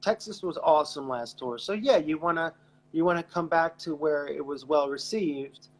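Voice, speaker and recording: A man's voice: on the high side, normal speed. A man with an Eastern Pennsylvania accent, co-hosting a casual podcast chat in a loose, rambling and profane way. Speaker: band member and co-host.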